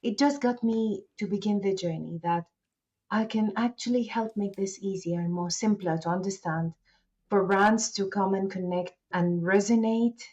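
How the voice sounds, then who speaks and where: A woman's voice: medium pitch, moderate pace, a little mellow, dull audio. A woman whose first language is Arabic, a guest founder speaking politely and thoughtfully in an interview. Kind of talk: interview conversation.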